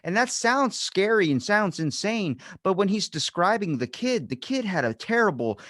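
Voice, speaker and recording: A man's voice: high voice, very animated, normal speed, a bit bright, no room sound, studio quality. A man hosting a podcast in casual unscripted chat, talking in a rambling, emphatic way and swearing freely. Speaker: podcast host.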